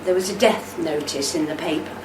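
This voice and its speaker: A woman playing a devoted, steadfast older army wife in a radio play, with a measured, tender delivery. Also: low voice, natural voice. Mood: measured, careful. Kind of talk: amateur radio play acting. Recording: roomy, distant mic, faint noise.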